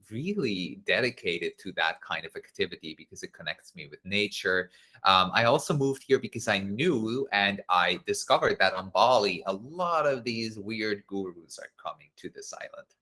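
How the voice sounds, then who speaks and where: Male host, forceful bright tone, relaxed and talkative on a live stream. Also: lowish voice. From Switzerland. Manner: casual and chatty, laughing easily, with many fillers. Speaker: masterclass host. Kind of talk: live-streamed online class, reading viewer comments.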